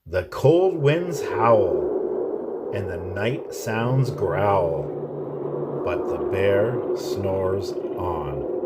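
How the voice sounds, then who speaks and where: A man teacher reading a children's picture book aloud in an expressive, drawn-out storytelling manner. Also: lowish voice, very noisy.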